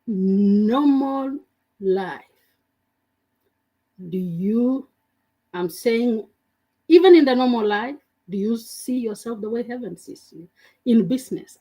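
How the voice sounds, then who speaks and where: A woman host speaks slowly, with a breathy edge to her voice. Her pitch moves widely as she opens a faith talk and invites her guest in. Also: warm tone, low-quality recording.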